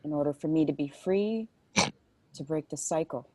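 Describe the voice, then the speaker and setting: A woman's voice: sits low, moderate pace, dark tone, dry recording. A woman reading the part of a troubled, bitter pregnant woman in a dramatic script table read. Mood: bitter, resolute.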